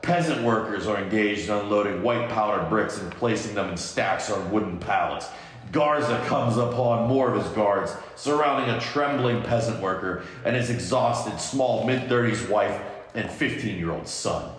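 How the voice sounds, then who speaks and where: A man's voice: lowish voice, normal speed. A man narrating scripted stage directions as a podcast host in an amateur table read. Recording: very echoey room, long reverb.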